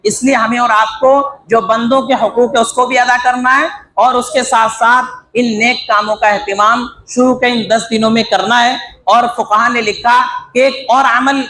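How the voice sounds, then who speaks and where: High bright-voiced male preacher, echoey. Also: moderate pace. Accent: Urdu. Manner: earnest, exhorting, lists phrases in steady rhythm. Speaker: Islamic preacher. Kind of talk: religious talk. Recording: echoey room with faint background noise.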